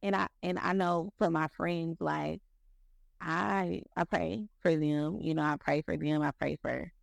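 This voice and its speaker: A speaker in their thirties talking faith in a loose, rambling way on a podcast. The voice has a heavy gravelly fry throughout. It is recorded close and completely dry. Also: medium pitch, steady tone, a little slow, no echo. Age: 30s. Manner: rambling, heavy use of "like" and "you know", repeats words. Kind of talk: casual faith talk.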